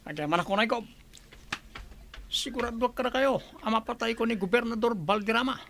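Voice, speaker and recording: A man's voice: very high-pitched, brisk, creaky, fairly bright tone, no echo, voice pushed up, exaggerated voice. A man voicing a nervous, servile henchman in an Ilocano-accented radio drama. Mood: eager, confident. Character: nervous, eager male henchman of a crime boss.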